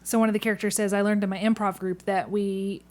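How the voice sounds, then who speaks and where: A woman's voice: mid-range voice, flat delivery, fast talker, darkish tone. A woman hosting a podcast, interviewing a guest in a casual, playful, teasing way.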